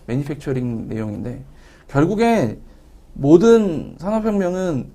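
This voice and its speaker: A man's voice: a little high, very animated, a little slow, dark tone. A man explaining technical material as a company representative in a webinar interview.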